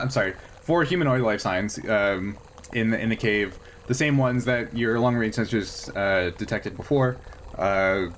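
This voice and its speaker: A man's voice: mid-range voice, slight background noise. A man running a tabletop game as game master, explaining things in a hesitant, improvised way.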